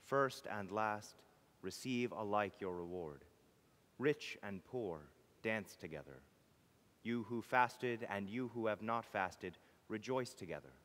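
A man reading a homily as clergy, in a solemn, measured, declamatory liturgical style. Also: lowish voice, expressive, a bit bright. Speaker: clergy reader. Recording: long reverb of a church.

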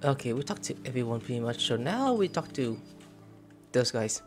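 A young man with a very animated delivery streams a game casually. He thinks out loud and reacts to what he sees, with game music faintly behind him. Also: mid-range voice, normal speed. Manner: musing aloud, reacting to dialogue. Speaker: gaming streamer. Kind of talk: casual game playthrough commentary. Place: indoor room at home, webcam stream.

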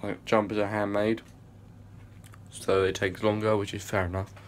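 Young lad rambling and swearing on a handheld phone vlog, kinda low voice. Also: male voice, little pitch movement, normal speed, slight background noise. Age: young adult. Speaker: vlogger. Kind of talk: casual vlog chat. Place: small indoor rooms and a hallway. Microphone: phone held at arm's length.

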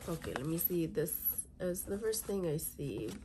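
A woman in her thirties, hoarse and raspy from a cold, chatting through a beauty unboxing and reading product labels aloud in a halting way, in a small room. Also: fairly low pitch, lively intonation, noisy background. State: hoarse, congested from illness. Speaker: beauty vlogger. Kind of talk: casual unboxing chat. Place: small bedroom with fluffy chair, indoors.

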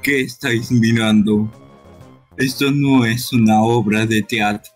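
A man plays a sneering poison-gas monster, a surly gang henchman in an adventure game. The words come slowly and slightly husky over game music. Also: lowish voice, steady tone. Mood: hostile. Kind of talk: live amateur game dubbing.

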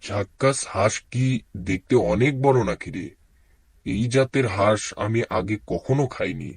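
Husky, gruff cartoon tiger uncle. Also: male voice, fairly low pitch, unhurried, dry recording. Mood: curious, hungry. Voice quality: husky, rough. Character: gruff, proud, greedy tiger uncle, dim but commanding. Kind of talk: cartoon dubbing.

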